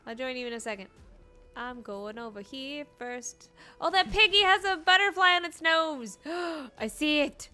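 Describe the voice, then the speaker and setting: Bright, high-voiced playful woman streamer. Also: normal speed, faint music behind. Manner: playful, exclamatory. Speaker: game streamer. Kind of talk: casual live gameplay reactions.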